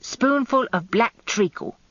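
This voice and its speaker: A woman's voice: a little high, lively intonation, unhurried, a bit bright, dry recording, voice pushed up. A woman voicing a blunt, resentful working-class English housekeeper who is heavy and gasps as she grumbles, in a radio drama. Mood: matter-of-fact. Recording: dull lo-fi radio recording.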